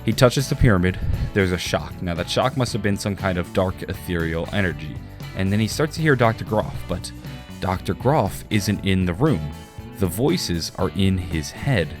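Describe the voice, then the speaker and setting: A man giving a scripted explainer narration about video games, in an emphatic, explanatory way. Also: low voice. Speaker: video game lore YouTuber. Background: guitar music.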